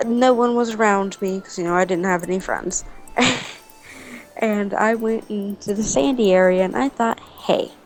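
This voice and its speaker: A woman's voice: mid-range voice, a little mellow, rough recording. A young woman chatting casually and telling rambling stories, with a giggly manner. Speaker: video co-host. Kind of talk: casual storytelling chat over a game.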